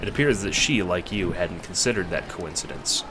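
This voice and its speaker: A man's voice: sits low, expressive, normal speed, background noise. A man narrating a scripted audio drama in the second person.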